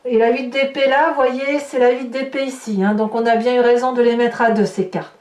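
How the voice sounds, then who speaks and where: A woman with a fairly high voice speaks as a tarot reader to her viewers. She thinks aloud informally, often checking in with small questions. The sound is very roomy and a little far from the microphone. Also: fairly even intonation, brisk, a little mellow. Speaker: tarot reader hosting a horoscope channel. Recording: roomy echo, distant microphone.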